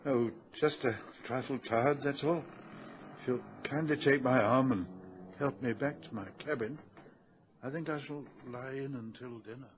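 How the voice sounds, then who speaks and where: A man's voice: medium pitch, a little slow, lightly acted. An actor playing an ailing, anxious titled father with a formal, dramatic manner in an old radio drama. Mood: weary. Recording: muffled, noisy vintage radio recording.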